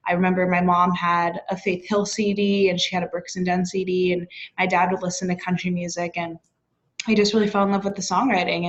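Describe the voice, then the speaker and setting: A woman's voice: on the low side, muffled recording. A young woman from Washington State, a country singer as a guest, telling her story fluently and friendly. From born in Congo, grew up in Washington State. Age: young adult. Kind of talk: casual interview chat.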